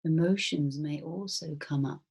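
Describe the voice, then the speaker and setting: Female meditation guide, low and breathy, soft and soothing, very unhurried delivery with long pauses. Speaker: mindfulness teacher. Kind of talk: guided body scan meditation.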